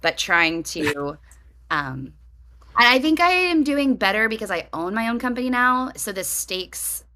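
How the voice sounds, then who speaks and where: A middle-aged woman co-hosting a podcast, chatting casually in an animated, self-deprecating way and laughing easily. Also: fairly high pitch, measured pace. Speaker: podcast co-host. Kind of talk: casual chat.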